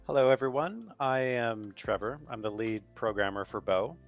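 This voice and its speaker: A man's voice: mid-range voice, expressive, normal speed, creaky, highs cut off, faint music behind. A man from Oregon, a lead programmer, giving a brief, plain introduction. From Oregon, United States.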